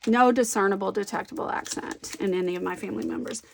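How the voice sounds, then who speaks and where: A woman from the American South with a softened Southern accent, rambling and amusing herself as she narrates her scrapbooking. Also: medium pitch, fast talker, vocal fry, background noise. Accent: light Southern American. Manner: rambling, self-amused, talks to herself. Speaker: scrapbooking vlogger. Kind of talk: chatty crafting commentary while working.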